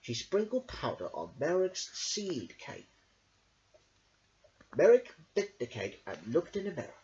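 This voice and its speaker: Theatrical, very animated woman storyteller. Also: low voice, measured pace. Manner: theatrical, expressive. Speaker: story reader. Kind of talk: dramatic children's storybook narration. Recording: dull lo-fi home recording.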